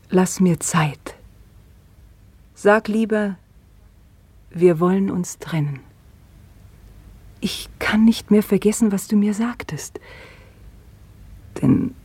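An actress playing a troubled, brooding widow in a German radio play, speaking haltingly and intensely, often dropping toward a whisper. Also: female voice, medium pitch, darkish tone, breathy edge, no echo. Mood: rejecting, sorrowful. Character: troubled, brooding widow Inés, estranged from her fiancé.

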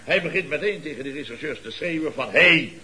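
A man acting a pompous, excitable middle-aged boss of a building firm in an old radio comedy, exclaiming and repeating himself. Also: on the high side, brisk, noisy background, lightly acted. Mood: boastful, indignant. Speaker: comic actor in a radio comedy series. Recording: old radio recording with studio audience.

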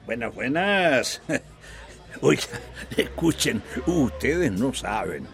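An actor playing a mocking, jolly village busybody in a radio drama, with a Central American accent and a chuckling, teasing delivery. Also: male voice, medium pitch, very animated, slow pace, gravelly, faint music behind, full character voice. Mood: gossipy, mocking.

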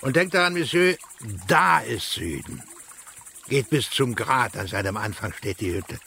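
An actor playing a terse, deliberate rustic male mountain guide with a creaky voice in a German radio play. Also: medium pitch, very animated, sharp tone, a little hiss or hum, exaggerated voice. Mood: instructing.

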